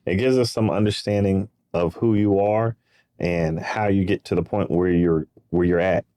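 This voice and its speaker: Man, podcast host, fairly low voice, dry studio sound, chatty and upbeat. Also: fairly even intonation, normal speed, dark tone, no echo. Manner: upbeat, chatty, loose Southern phrasing. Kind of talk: casual interview chat.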